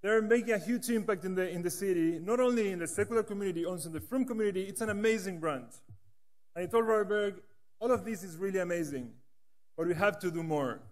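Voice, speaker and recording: Rabbi speaking at a podium. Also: male voice, sits high, fast. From Latin America. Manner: earnest storytelling with gestures. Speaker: rabbi, branch director. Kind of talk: conference speech. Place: large conference hall stage. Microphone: podium microphone.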